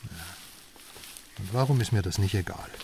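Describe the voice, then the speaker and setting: An actor in a radio drama playing a brash West German investigative journalist, chatty, sardonic and emphatic. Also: male voice, fairly low pitch, normal speed, lightly acted. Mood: teasing. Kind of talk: naturalistic radio drama dialogue. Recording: slightly distant voice, faint outdoor noise.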